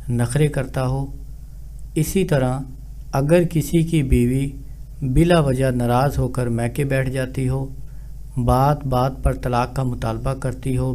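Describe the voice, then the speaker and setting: A man speaking as a spiritual healer, giving instructions in a formal, measured religious monologue. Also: medium pitch, dark tone, slight background noise.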